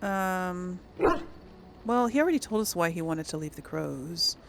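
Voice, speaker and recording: A woman's voice: lowish voice, animated, a little slow, vocal fry, noisy background. A woman hosting a gaming video, giving casual commentary and lightly role-playing dialogue. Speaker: gamer, video host.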